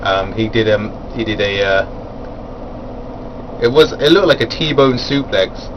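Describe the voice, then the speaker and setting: A man's voice: fairly low pitch, animated, a little slow, dull audio, background noise. A man giving a casual, rambling spoken review of a wrestling event, full of fillers and chuckling at his own asides.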